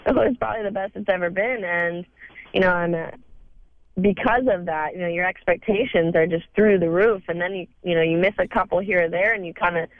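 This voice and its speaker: A young woman with a cold, hoarse, answering interview questions haltingly with many fillers. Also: fairly low pitch, no echo. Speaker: guest on phone line. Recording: tinny phone line.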